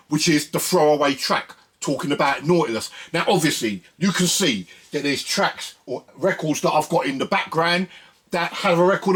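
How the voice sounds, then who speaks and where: A British man hosts a music video channel in a fairly high, husky voice. He talks casually and colloquially to his viewers, wandering through his thoughts and often checking in with little tag questions. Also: a bit bright. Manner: rambling and colloquial, with frequent tag questions like "you know what I mean". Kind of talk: casual chat to viewers about records.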